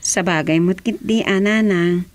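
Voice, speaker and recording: A woman's voice: on the low side, unhurried, no echo, natural voice. A woman acting as a curious, practical young village wife in a conversational radio drama. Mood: curious, agreeing.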